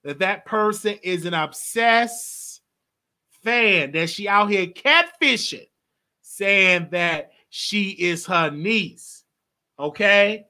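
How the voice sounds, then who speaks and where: A man's voice: high voice, animated, slow, bright, forceful tone. A man in his late twenties hosting a live call-in show, chatting casually and laughing often. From Texas. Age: 20s. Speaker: host.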